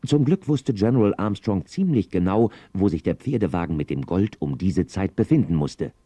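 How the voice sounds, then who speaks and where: An actor playing a calm Western sheriff who narrates his story in an audio drama. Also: sits low, moderate pace, no echo. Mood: measured. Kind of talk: scripted narration.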